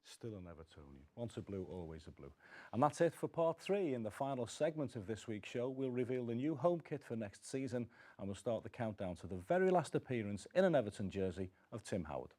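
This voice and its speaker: Male sports show host, brisk delivery, soft dark tone, easy conversational interviewing. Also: medium pitch, sing-song. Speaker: football club show host. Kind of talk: casual interviewing and presenting.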